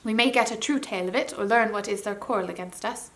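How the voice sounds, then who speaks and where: A woman's voice: mid-range voice, fast, fairly bright tone, natural voice. A woman playing a stern, courtly prince. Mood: commanding. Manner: formal, old-fashioned, commanding. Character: bold, stern young prince, courtly and commanding.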